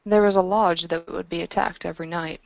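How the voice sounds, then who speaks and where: A woman's voice: fairly low pitch, fairly even intonation, brisk, vocal fry, no room sound. A woman playing a tabletop roleplaying game, speaking in character and narrating her character's actions. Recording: dull lo-fi audio.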